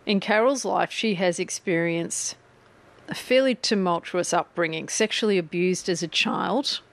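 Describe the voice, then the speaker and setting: A woman's voice: on the low side, unhurried. A woman, hosting a radio interview in an artist's studio, chatty and playful with her guest. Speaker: radio presenter.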